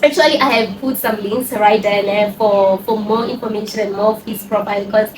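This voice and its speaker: A woman hosting an interview, chatting in a lively, effusive way. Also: mid-range voice, a little fast, a crowd in the background. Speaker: interview host. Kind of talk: casual interview chat. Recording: distant echoey mic, noisy background.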